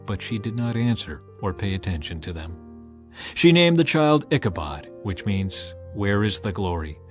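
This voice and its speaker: A man's voice: fairly low pitch, very animated, normal speed. Solemn man narrating scripture over music. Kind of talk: dramatized scripture audiobook narration.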